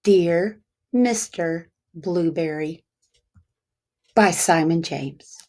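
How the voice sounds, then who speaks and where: A woman teacher reading a picture book aloud to young children, speaking deliberately and pausing to ask them questions. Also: lowish voice, warm tone.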